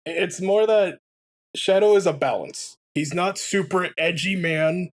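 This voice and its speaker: A man's voice: sits high, a little slow. A man chatting casually and giving opinions as a game commentator, rambling and swearing as he goes. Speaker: let's player commentator.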